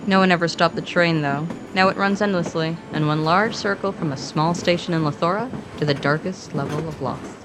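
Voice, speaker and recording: A woman's voice: low-pitched, a little fast, hardly any pauses, noisy background. A woman co-narrating a fiction podcast in a scripted but conversational storytelling style, playful and informal with dry asides.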